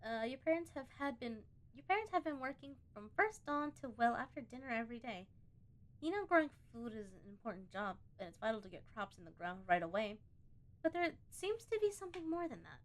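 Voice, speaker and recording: A woman doing a casual let's play, reading on-screen game text aloud and breaking off to think out loud. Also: fairly high pitch, very animated, brisk. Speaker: gaming video host.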